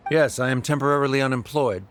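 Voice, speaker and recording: A man voicing a stiff, formal scholar and awkward estranged father in a video game, speaking in a measured, reserved way. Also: mid-range voice, a bit bright, a little hiss or hum, natural voice. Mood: reserved, dignified. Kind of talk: scripted video game acting.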